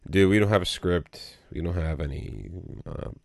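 Brief: deep, slightly nasal male co-host, casual rambling delivery, dry close sound. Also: very animated, moderate pace, creaky, a little mellow, no echo. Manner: rambling, full of you know and um. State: a little nasal from allergies. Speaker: podcast co-host. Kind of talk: casual podcast chat. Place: small home studio.

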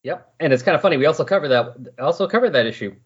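A middle-aged man with a slightly husky voice hosting a casual comic book discussion in a chatty, explanatory way. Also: on the high side, lively intonation, very fast. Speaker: podcast host. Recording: dull lo-fi voice recording.

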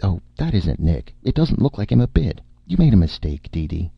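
A man voicing an anxious woman agent in a story, hastily and pleadingly smoothing things over. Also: mid-range voice, wildly swooping pitch, measured pace, no room sound, muffled recording, voice pushed up, full character voice. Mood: hasty, covering up. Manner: hasty, pleading.

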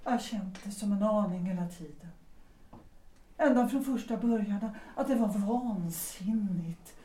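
A woman playing an unhappy, lovestruck married woman in a period audio drama, speaking earnestly and deliberately. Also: mid-range voice, dark tone, recorded from a distance, a little hiss or hum, lightly acted. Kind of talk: period stage-play audio drama acting. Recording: roomy echo, away from mic.

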